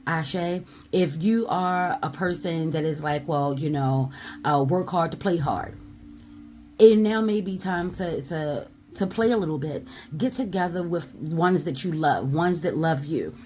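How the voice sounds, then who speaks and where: A woman giving a spiritual tarot message in a loud, emphatic voice, blunt and at times swearing, punctuating her points with repeated affirmations. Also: low voice, measured pace, a little hiss or hum. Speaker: tarot reader.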